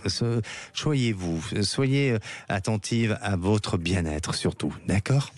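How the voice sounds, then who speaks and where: A man hosting a live radio call-in as a psychic, speaking gently and hesitantly with many fillers. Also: fairly low pitch, slow pace, no room sound.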